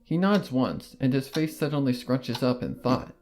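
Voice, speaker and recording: A man giving a first-person audiobook narration of a fan fiction story, in a measured, even storytelling manner. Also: medium pitch, over music.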